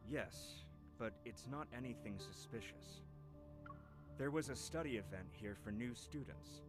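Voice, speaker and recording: An actor playing a measured, cooperative university researcher in a video game, explaining things formally. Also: male voice, mid-range voice, animated, over music, lightly acted. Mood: measured, explanatory. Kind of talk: scripted game voice acting.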